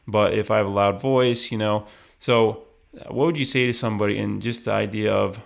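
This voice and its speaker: A man's voice: fairly low pitch, steady tone, normal speed, creaky. A man hosting a hunting show interview, asking long rambling questions full of fillers. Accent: Michigan. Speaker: podcast host interviewer. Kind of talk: conversational interview.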